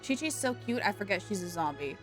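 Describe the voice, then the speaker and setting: A woman streaming a game, chatting animatedly and thinking out loud. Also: mid-range voice, very animated, quick pace, faint music behind. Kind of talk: casual live-stream commentary.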